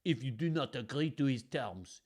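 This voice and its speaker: A man's voice: medium pitch, animated, normal speed, a little mellow, slightly rough. A man voicing a scornful male royal messenger with a put-on French accent. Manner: haughty, scornful.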